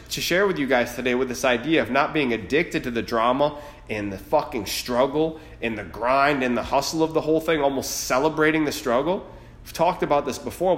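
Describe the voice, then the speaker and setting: A man in his late thirties hosting a podcast, giving a blunt, fired-up motivational monologue full of swearing. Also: medium pitch. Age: 30s. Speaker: podcast host. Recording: roomy echo.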